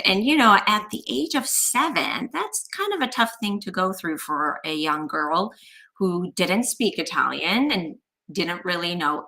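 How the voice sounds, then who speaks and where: Bright, earnest middle-aged woman guest. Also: on the low side, low-quality recording. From Iran. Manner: earnest, reflective, uses "you know" and "um". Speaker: guest, physician. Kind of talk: interview storytelling.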